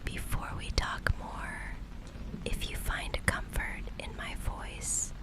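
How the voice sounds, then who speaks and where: A woman acting as a female private investigator who has grown tenderly obsessed. She speaks entirely in a breathy whisper, very slowly, soothing the listener with possessive care in an intimate ASMR roleplay. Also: lightly acted, poor audio. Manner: slow, hushed, soothing reassurance.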